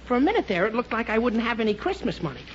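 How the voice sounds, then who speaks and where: A man's voice: very high voice, very animated, racing. A man playing a hapless, naive teenage boy in a 1940s radio comedy, stammering, pleading and exclaiming. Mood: relieved, pleased. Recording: muffled, noisy 1940s radio recording.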